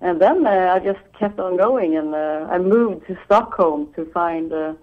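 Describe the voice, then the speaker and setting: A Swedish woman, a guitarist, talking on the phone in halting English with a Swedish accent and frequent laughter. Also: lowish voice, expressive. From Sweden. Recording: dull phone line.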